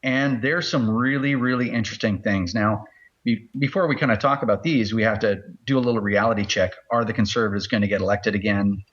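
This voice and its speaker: A man's voice: fairly low pitch, a little fast, a bit bright. Canadian man chatting, slightly dull audio. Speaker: podcast guest. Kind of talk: casual interview chat.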